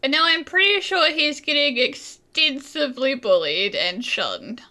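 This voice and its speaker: A woman's voice: high voice, lively intonation, unhurried, sharp tone. A woman giving casual commentary while playing through a video game. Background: faint game music.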